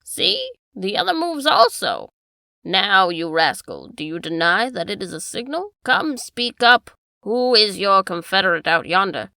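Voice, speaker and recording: A woman voicing a stern, commanding aristocratic English gentleman who interrogates forcefully. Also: medium pitch, very animated, a little fast, few pauses, dry recording.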